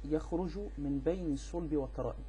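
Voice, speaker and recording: A man with an Egyptian accent, a native Arabic speaker, giving an informal explanatory rebuttal in a halting, repetitive, insistent way. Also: a little high, mellow, a little hiss or hum, poor audio. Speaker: amateur video responder on religion.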